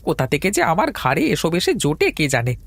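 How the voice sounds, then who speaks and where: A man's voice: a little high, wildly swooping pitch, fast, dry recording, heavily acted. A man playing a grumpy, sarcastic village tea stall keeper in an audio drama, scolding in an exasperated way. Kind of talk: Bengali audio story drama acting.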